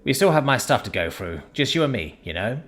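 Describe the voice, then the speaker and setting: A friendly, eager young man who stumbles a little over his words, read by a man. His voice jumps up and down in pitch. Soft music plays faintly behind. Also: sing-song, brisk.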